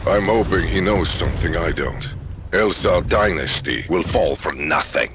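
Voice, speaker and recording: An actor playing a weary, bitter military commander in a game cutscene, speaking with grim resignation. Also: male voice, low-pitched, normal speed, noisy background, lightly acted. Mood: grim, resigned.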